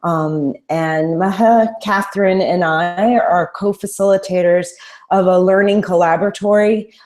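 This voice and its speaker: A woman from New Jersey co-hosting an online panel over a video call, speaking in a friendly, measured way with fillers. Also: lowish voice, fairly even intonation, a little mellow. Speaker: co-host, literature professor.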